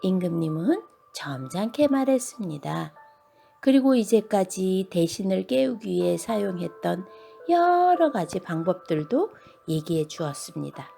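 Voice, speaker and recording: A woman reads a children's bedtime story with very lively intonation. Her voice has a creaky edge and often sinks to a dramatic hush, over faint background music. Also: on the low side.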